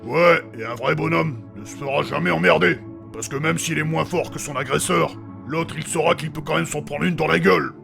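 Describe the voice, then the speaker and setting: Man playing a gravelly, brash tough guy. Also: fairly high pitch, fairly quick, music in the background. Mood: blunt, preachy. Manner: blunt, crude, combative. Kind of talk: comic fantasy audio drama.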